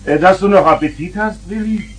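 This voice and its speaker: A man's voice: high-pitched, fairly quick, close to an everyday voice. An actor playing a stern, humourless, grumbling father in a 1970s children's radio play.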